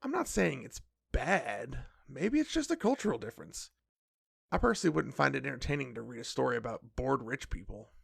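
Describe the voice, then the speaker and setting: An actor playing a cautious, nervous magical companion in an audio drama, creaky and admonishing. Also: mid-range voice, sing-song, fairly quick, dry recording, studio quality, full character voice. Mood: doubtful. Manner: wary, admonishing.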